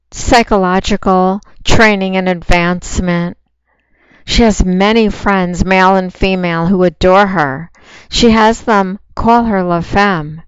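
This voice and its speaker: A woman with a soft voice giving a slow, unhurried, commanding promotional monologue as a phone sex operator. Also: medium pitch, clean tone, no echo, highs cut off.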